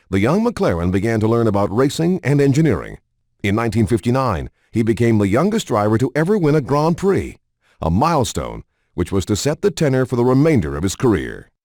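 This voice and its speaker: A man reading a scripted documentary narration in a measured, polished announcer delivery. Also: mid-range voice, dark tone, no room sound, studio quality.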